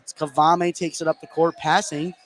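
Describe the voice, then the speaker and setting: Play-by-play caller: young man, very high-pitched, live basketball radio, light venue noise behind. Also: level tone, normal speed. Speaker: play-by-play sports announcer. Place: courtside in a high school gym. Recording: noisy gym ambience behind voice. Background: faint gym noise.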